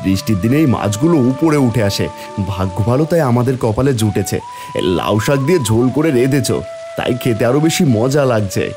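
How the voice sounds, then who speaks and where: Casting: male actor as humble, good-natured cartoon village father, mid-pitched, very animated melody, faint music behind. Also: fairly quick, lightly acted. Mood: contented. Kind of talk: cartoon voice acting.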